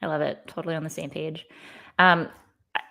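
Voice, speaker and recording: Female co-host, low pitch, creaky fry, encouraging interviewer. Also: little pitch movement, fairly quick. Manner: warm, encouraging, many ums. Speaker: podcast co-host. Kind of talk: interview hosting.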